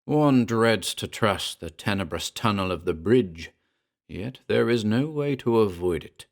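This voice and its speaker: A man giving a scripted narration of a horror story, with measured, deliberate and formal literary delivery. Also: lowish voice, expressive, creaky. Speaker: narrator and presenter.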